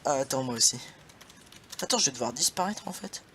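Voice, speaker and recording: A man's voice: mid-range voice, animated, fairly quick, crowd noise. A young man hosting a casual gaming session, laughing often and swearing playfully at his partner. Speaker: gaming channel host.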